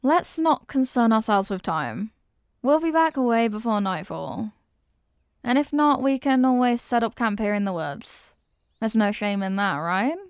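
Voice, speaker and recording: Woman acting a sweet, wistful childhood friend, higher-pitched, close-up. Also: lively intonation, a little slow, clean tone, dry recording. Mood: warm, eager. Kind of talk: scripted ASMR roleplay spoken to the listener.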